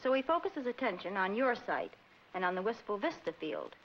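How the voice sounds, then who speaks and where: An actress playing a shrewd, confident young woman in a 1940s comedy film, brisk and coy with slangy lines. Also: mid-range voice, very animated, a bit bright. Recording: muffled, noisy old film soundtrack.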